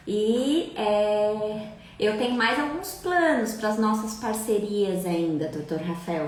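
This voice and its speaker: A Brazilian woman lawyer hosting a live stream, chatting and warmly greeting viewers by name. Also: mid-range voice, heavy reverb, rough recording.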